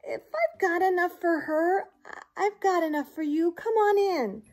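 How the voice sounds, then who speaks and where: A woman's voice: very high-pitched, unhurried, a little mellow, pitched above the performer's own voice. A woman voicing an exasperated but hospitable young boy in a storybook, with dramatic dismay. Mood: resigned welcoming.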